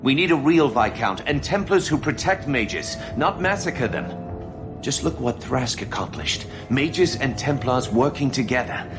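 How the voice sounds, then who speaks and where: Man acting anxious young rebel, medium pitch, pleading, defensive, earnest, loud score behind, game drama. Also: animated, a little slow, music in the background, lightly acted. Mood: earnest, urgent.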